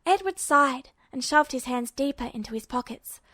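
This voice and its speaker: A woman's voice: high voice, expressive, normal speed, a bit bright, dry recording. A woman reading scripted fiction aloud as a story narrator.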